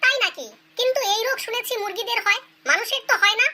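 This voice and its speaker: A performer voicing a strict, bossy female schoolteacher who scolds and questions pupils in an animated comedy skit. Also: very high voice, fast, exaggerated voice. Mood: questioning.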